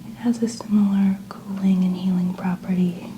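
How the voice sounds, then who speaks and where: A woman in a soft-spoken ASMR skincare roleplay, gently narrating each step in a breathy, often whispered voice. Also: medium pitch, flat delivery, normal speed, highs cut off. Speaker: ASMR host playing a skincare practitioner. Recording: noticeable ambient background noise.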